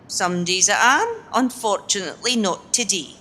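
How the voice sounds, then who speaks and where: Woman acting a feisty, very animated woman. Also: mid-range voice. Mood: irritated, wary. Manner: brisk, incredulous, scolding. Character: feisty, sceptical, kind-hearted working woman on day off. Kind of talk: amateur radio play acting.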